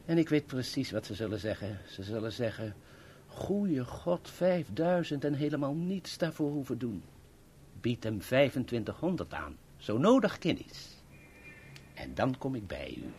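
An actor playing an effusive, wheedling agent in a theatrical, florid manner in a 1970s Dutch radio play. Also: male voice, mid-range voice, big pitch swings, moderate pace, slight background noise. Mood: scheming, sly. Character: effusive, wheedling male agent offering deals and friendship.

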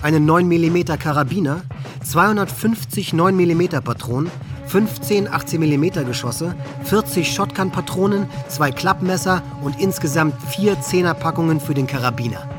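A man's voice: a little high, normal speed, music in the background, natural voice. An actor playing a hate-filled, resentful teenage boy in a German radio drama, bitter, boastful and profane. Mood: gloating. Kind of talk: German radio docudrama monologue.

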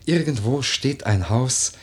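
A male actor in a classic German radio play voicing an eloquent, brooding widowed gentleman, husky and declaiming poetically in measured, swelling phrases. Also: mid-range voice, expressive. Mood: tender, rapturous.

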